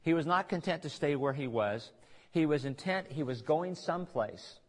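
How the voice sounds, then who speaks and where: A man preaching a conversational sermon, pausing for asides and restarting phrases as he works through scripture. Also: on the high side, lively intonation. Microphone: recorded on tape in a church.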